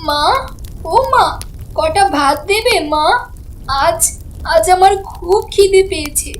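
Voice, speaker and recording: A woman's voice: very high voice, takes it slowly, slight background noise. A woman voicing a frail, sweet little village girl in a cartoon, with a childlike, pleading manner. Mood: weak, pleading. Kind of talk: cartoon voice acting. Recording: background music under the voice.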